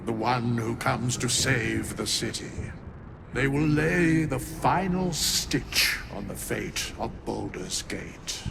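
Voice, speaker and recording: An actor playing a solemn, ancient undead sage in a fantasy game, speaking in a grave, archaic, measured way. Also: male voice, mid-range voice, lively intonation, vocal fry, noisy background, full character voice. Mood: solemn prophecy.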